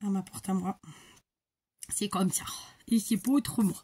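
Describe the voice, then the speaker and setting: A woman's voice: medium pitch, steady tone, normal speed, warm tone, husky. A middle-aged woman from the Paris region, chatting casually and rambling through a crafting tutorial. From Paris region, France. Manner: chatty and rambling, many fillers and restarts.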